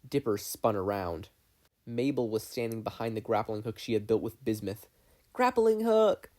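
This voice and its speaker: Low, mellow-voiced man narrating fiction. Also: steady tone, moderate pace. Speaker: podfic reader. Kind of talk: scripted story narration.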